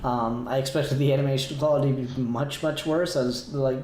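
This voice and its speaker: A man's voice: medium pitch, steady tone, a little fast, a little mellow. A young man hosting a review podcast in casual conversation, emphatic and acting out his own reactions as he goes. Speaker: podcast host leading a review. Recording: roomy home recording with faint noise.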